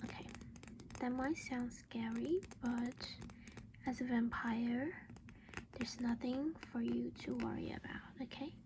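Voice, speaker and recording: A woman whispering breathily and close to the microphone in a gentle, reassuring ASMR roleplay as a caring, protective vampire mother. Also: fairly high pitch, fairly even intonation, slow pace, vocal fry, background noise.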